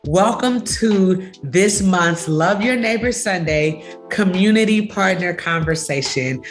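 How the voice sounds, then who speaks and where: A woman's voice: on the low side, expressive, takes it slowly, soft background music. A woman hosting a conversational interview as a pastor, speaking in an effusive, welcoming way. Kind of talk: warm-up introduction and conversational interview.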